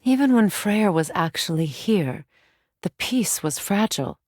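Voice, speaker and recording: An actress playing a solemn, regal Norse goddess warrior in a video game, speaking in a measured, grave, formal manner. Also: female voice, fairly low pitch, animated, darkish tone, no echo, clean studio sound. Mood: solemn, reflective. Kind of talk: dramatic video game voice acting.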